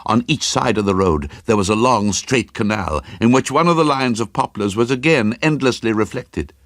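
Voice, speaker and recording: A man giving a dramatic, brooding and deliberate scripted narration of a ghost story, as in a radio play. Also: mid-range voice, lively intonation, fairly bright tone, no echo, studio quality.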